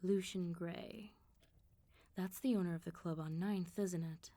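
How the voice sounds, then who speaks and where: A woman voicing a calm, flirtatious, quietly threatening female vampire mob boss in a soft ASMR roleplay. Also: fairly low pitch, measured pace. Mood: persuasive, probing. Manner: controlled, smooth-talking, teasing, quietly threatening.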